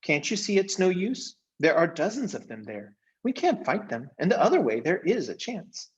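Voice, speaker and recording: A man's voice: sits high, big pitch swings, moderate pace, muffled recording, voice pushed up. A man voicing a brave, earnest young girl with a questioning, resolute manner, in a storybook reading.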